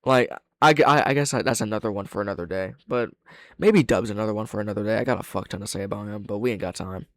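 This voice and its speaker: A man's voice: on the low side, fast talker, no room sound. A man reacting off the cuff as a reviewer, blunt and opinionated, swearing freely as he talks. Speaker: reaction host and video reviewer. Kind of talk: casual off-the-cuff reaction commentary.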